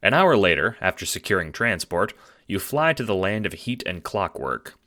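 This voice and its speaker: A man narrating a scripted audio drama in a measured, dramatic storytelling manner. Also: low voice, very animated, pushed and bright.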